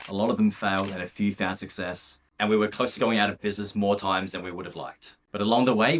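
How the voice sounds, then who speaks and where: A man's voice: on the low side, fairly bright tone, slight background noise. A young man from Australia narrating his own business vlog in a candid, upbeat, casual way, with the odd swear word. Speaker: vlogger and business owner.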